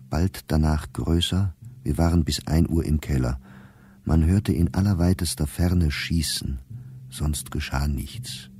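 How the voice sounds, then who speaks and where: An actor playing a sober, weary male diarist in wartime, reading his entries in a measured, deliberate way for a radio play. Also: a bass voice, warm tone, husky, dry recording, exaggerated voice. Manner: measured, deliberate, clipped diary phrasing.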